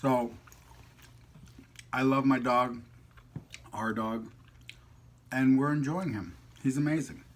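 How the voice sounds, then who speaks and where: A man's voice: medium pitch, slow pace, pauses often, slight background noise. A man hosting a casual mukbang vlog, chatting in a jokey, slangy, playful way while eating.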